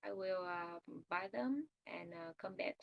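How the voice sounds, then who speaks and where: Give me a slow-speaking woman learning English, hesitant and careful, recorded dry. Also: lowish voice, vocal fry, no room sound, dull audio. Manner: hesitant, many "uh"s, simple phrasing. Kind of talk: conversation practice.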